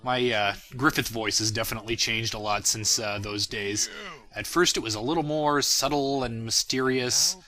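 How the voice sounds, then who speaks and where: Young adult man, very bright forceful voice, casual commentary, reflective, self-deprecating. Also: mid-range voice, normal speed. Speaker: creator of a fan parody series, commentator. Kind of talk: casual audio commentary on own work.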